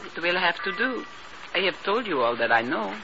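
An actress playing a proud, coolly threatening woman informant, speaking formally and deliberately in a radio drama. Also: lowish voice, big pitch swings. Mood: coldly determined. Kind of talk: 1950s radio drama acting. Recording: noisy, dull 1950s radio recording.

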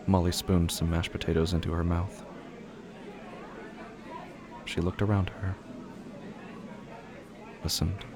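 Male drama narrator: very deep, fry-edged, grave and unhurried, with clear background noise under the voice. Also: level tone, crowd noise. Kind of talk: scripted dramatic story narration.

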